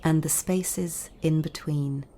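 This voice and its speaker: A woman with a low, dark and mellow voice guides a meditation. Her delivery is calm and soothing. She speaks in measured phrases with long pauses between them. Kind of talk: guided body scan meditation narration.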